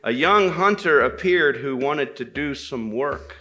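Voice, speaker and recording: A man tells an old folktale live, in a bright, forceful voice of medium pitch. He is deliberate, repeating phrases and pausing for dramatic weight. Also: expressive, slight background noise. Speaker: storyteller at a men's gathering. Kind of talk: live oral storytelling of a folktale, dramatic pauses and repetition.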